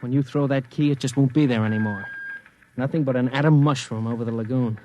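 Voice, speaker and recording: An actor playing a dutiful army aide who speaks in a clipped, deferential way in a 1950s radio drama. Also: male voice, mid-range voice, fairly even intonation, fast talker, a little mellow, a little hiss or hum, natural voice. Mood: grim. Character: dutiful young officer, shaken. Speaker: military aide.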